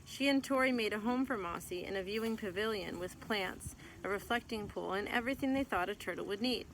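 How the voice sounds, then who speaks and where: A woman reads a picture book aloud in the manner of a teacher sharing a story with a class. Her pitch sits in the middle range for a woman. There is faint but noticeable noise behind her voice. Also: fairly quick, fairly bright tone, poor audio. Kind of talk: picture book read-aloud, scripted narration. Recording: clear background noise.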